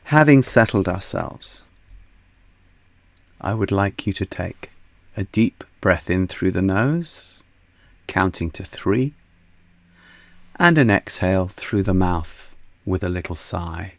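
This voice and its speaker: A man guiding a yoga nidra meditation in a soft, soothing, unhurried manner that sometimes sinks almost to a whisper. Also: low voice, animated, dry recording. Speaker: meditation guide.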